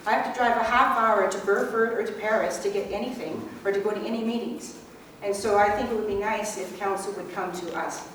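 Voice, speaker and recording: A middle-aged Canadian woman gives an unscripted campaign speech as an election candidate, conversational and halting, repeating words as she goes. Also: mid-range voice, fairly even intonation, a bit bright. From rural Canada. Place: indoor public meeting room. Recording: echoey room, distant mic, faint noise.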